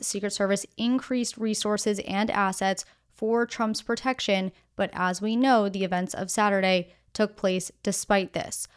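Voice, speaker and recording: Female news podcast host, smooth and clean tone, medium pitch, measured scripted delivery with conversational asides. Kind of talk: scripted news narration with casual explanatory asides.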